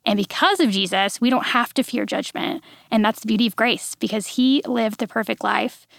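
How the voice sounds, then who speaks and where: A bright-toned woman in her thirties with a gravelly fry running through her speech, talking with bubbly good humor. She is recorded in a dry, close podcast studio. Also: fairly high pitch, no room sound. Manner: bubbly, quick to laugh. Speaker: podcast guest, devotional writer. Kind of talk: casual chat and devotional teaching.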